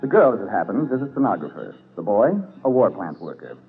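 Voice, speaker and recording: A man's voice: fairly low pitch, big pitch swings, a little fast, slightly rough, faint music behind. A man with an American accent reading scripted commercial narration as a 1940s radio announcer, on a tinny old recording.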